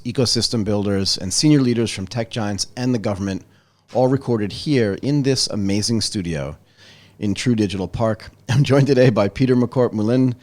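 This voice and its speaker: A man's voice: fairly low pitch, darkish tone. A man hosting a podcast, interviewing a guest in a conversational style in a studio. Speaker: podcast host.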